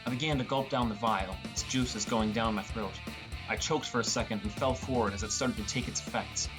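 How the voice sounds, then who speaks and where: A man's voice: lowish voice, brisk, music in the background. A man reading a scripted first-person story as an audiobook narrator.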